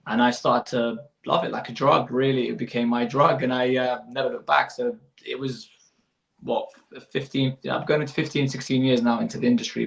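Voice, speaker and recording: A man's voice: medium pitch, gravelly, a bit bright, echoey room. A man in his thirties, a fitness coach, answering interview questions informally and explaining as he goes, often rephrasing. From born in Iraq, moved abroad as a young child. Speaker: fitness coach and business owner.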